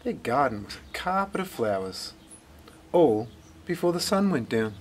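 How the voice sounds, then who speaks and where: A man reading a children's story aloud in a dramatic, deliberate way. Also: mid-range voice, big pitch swings, slight background noise. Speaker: storybook reader. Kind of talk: expressive scripted children's story narration.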